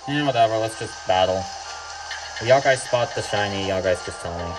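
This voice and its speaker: A man's voice: fairly low pitch, moderate pace, background noise. A young man giving casual, rambling gameplay commentary, drifting off topic and swearing freely. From Mexico. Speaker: gaming YouTuber. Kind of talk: casual let's-play commentary. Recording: loud game music behind the voice.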